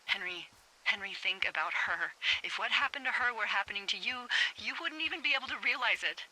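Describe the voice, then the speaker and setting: An actress playing a wry, caring fire lookout in a video game, talking in a dry, conversational, sometimes hesitant way over a two-way radio. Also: female voice, mid-range voice, expressive, fairly quick, bright, forceful tone, low-quality recording. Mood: reassuring, urgent. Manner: conversational, dry, hesitant when admitting things. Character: wry, caring adult female fire lookout, frank and guilty-hearted. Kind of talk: naturalistic video game dialogue.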